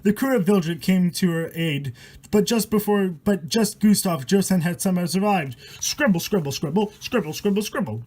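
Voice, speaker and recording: A man's voice: high-pitched, moderate pace, darkish tone. A man reading a rhyming horror story aloud in a dramatic, irreverent way, stumbling over words and swearing in casual asides. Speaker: amateur storyteller and host.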